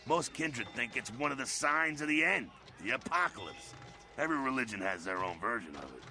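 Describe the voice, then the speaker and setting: An actor playing a centuries-old, jovial anarchist vampire in a video game, with a gravelly voice and a mocking, streetwise, slangy drawl. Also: male voice, sits low. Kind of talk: video game character dialogue.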